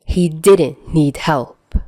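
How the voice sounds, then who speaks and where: Woman, story narrator, lowish pitch, scripted reading, dry close sound. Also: very slow, warm tone, no echo. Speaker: podfic narrator.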